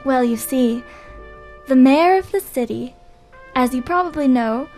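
A woman voicing an excitable, dramatic young woman informant in a comic radio noir play. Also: sits high, a little slow, faint music behind. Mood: melodramatic. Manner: breathless, melodramatic.

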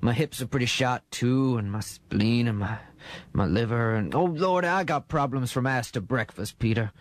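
A man puts on a meek, woeful old retired miner in a comedy sketch. He talks in halting, folksy phrases with a humble stammer. The sound is dry, with no room around it. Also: medium pitch, dry recording, close to an everyday voice. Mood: hesitant, sheepish. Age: older. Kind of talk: comic testimony.

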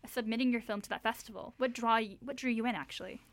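Brisk, fairly high-voiced woman hosting. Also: steady tone, dry recording. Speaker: radio show host. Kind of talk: interview chat. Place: radio studio.

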